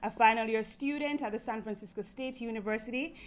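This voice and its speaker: A woman's voice: on the high side, fairly quick. A woman hosting a student interview program in a studio, asking questions in a friendly, conversational way.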